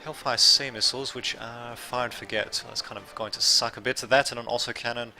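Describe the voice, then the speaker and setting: A man's voice: medium pitch, fairly even intonation, fairly quick, gravelly, sharp tone, faint music behind, low-quality recording. A man from Serbia, raised in Australia, with a light Australian accent, giving rambling casual commentary over a strategy game and chatting to his viewers. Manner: rambling, self-correcting, chatty asides to viewers. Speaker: gameplay commentator.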